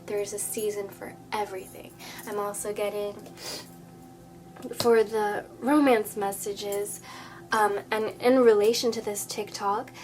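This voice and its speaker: A woman's voice: medium pitch, little pitch movement, a little slow. Congested woman giving a tarot reading. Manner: earnest, soothing, often drops to a hushed whisper. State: congested, a bit nasal. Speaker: tarot reader. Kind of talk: spoken tarot reading to viewers. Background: background music.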